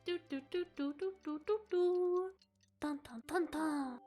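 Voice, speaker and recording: A woman giving casual, playful livestream commentary on a game, with excitable reactions. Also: high-pitched, a little slow, soft background music. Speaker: streamer playing a story game.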